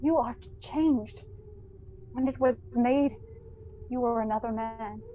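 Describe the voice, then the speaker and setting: A sorrowful young woman in a period radio play, with a fairly high voice. She speaks with gentle, earnest dignity in measured phrases, on a slightly dull recording with faint noise. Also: steady tone. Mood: sorrowful, firm. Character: sorrowful, dignified young fiancée releasing her beloved. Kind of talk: theatrical audio drama acting.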